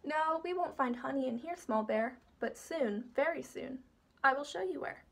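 A woman's voice: high voice, lively intonation, moderate pace, vocal fry, fairly bright tone, heavily acted. Bragging dad bear, proud and stubborn, sing-song rhymes, lady doing the voice. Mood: boastful.

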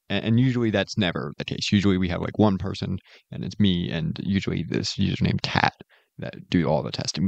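A man's voice: lowish voice, gravelly, dry recording. A man giving an informal voice-over progress update as a game mod developer, recorded close on a slightly dull microphone.

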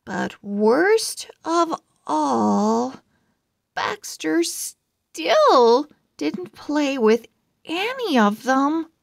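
A woman reading a children's story aloud with deliberate, dramatic phrasing. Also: high voice, sing-song, gravelly, no echo, clean studio sound. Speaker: children's book read-aloud host. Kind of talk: expressive read-aloud narration for young children.

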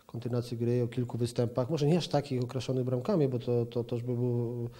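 Man in his forties, very dark voice, flat delivery, hesitant football pundit, handheld interview mic indoors. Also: medium pitch, fairly quick. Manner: hesitant, many fillers and restarts. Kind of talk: TV sports interview. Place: indoor office room with plant. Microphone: handheld reporter mic held toward him.

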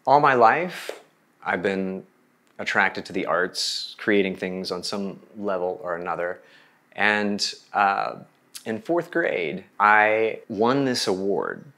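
A middle-aged American man with a fairly low voice speaks as an artist being interviewed. He tells his story in a relaxed, conversational way, seated indoors. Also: takes it slowly. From Oklahoma, United States. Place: bright room indoors.

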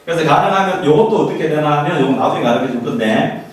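A man's voice: fairly high pitch, expressive, quick pace. A middle-aged man giving an informal, emphatic lecture to parents, often asking rhetorical questions. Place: small classroom. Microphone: handheld mic, recorded by a camera at a distance. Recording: echoey room, distant mic, background noise.

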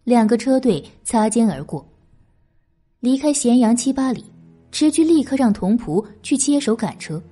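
A woman's voice: fairly high pitch, expressive, normal speed, mellow, slightly rough, soft background music. A woman narrating a historical novel as an audiobook in a dramatic, scripted style.

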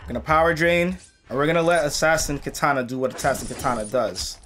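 A young man commentating on a mobile fighting game, excitable and losing his voice, seated at a home gaming setup. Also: a little high, quick pace, a little hiss or hum. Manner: excitable, swings from muttering to yelling at the game. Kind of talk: casual gameplay commentary.

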